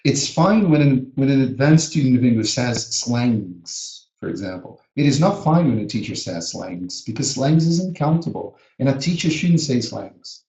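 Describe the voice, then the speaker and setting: A man's voice: medium pitch, animated, normal speed, hardly any pauses, darkish tone, highs cut off. Brazilian man teaching pronunciation, echoey. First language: Portuguese. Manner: earnest, emphatic, repeats words to drill pronunciation. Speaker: teacher educator. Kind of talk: live webinar lesson. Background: a small child heard now and then.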